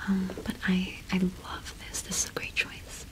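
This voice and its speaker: A young woman whispers her way through an ASMR roleplay as a shopkeeper. Her delivery is slow and gentle, with little rise or fall in pitch and frequent pauses. She speaks close to the microphone. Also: monotone, poor audio. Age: young adult. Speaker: ASMR performer playing a cottage witch shopkeeper.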